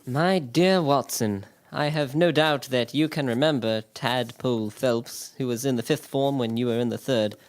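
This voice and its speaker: An actor playing a weakened, distressed gentleman who dictates a pleading letter in an audio drama, earnest and formal. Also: mid-range voice, normal speed, few pauses, no echo, natural voice. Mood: formal, grave.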